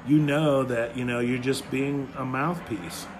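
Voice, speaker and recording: A man's voice: mid-range voice, fairly even intonation, measured pace, background noise. A man in casual political chat, speaking bluntly with swearing and repeating himself for emphasis.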